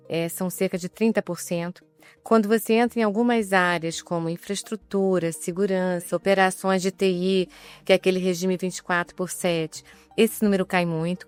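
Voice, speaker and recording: A woman in her fifties from Rio de Janeiro with a softened carioca accent, speaking as a guest on an executive panel in a measured, explanatory way with light humor. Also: lowish voice, clean tone. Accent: Carioca Portuguese, softened. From Rio de Janeiro, Brazil. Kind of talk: panel discussion.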